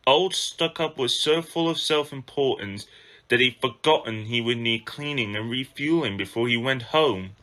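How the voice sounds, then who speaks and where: A man's voice: mid-range voice, moderate pace, sharp tone. A man narrating a children's story from a script as an amateur reader.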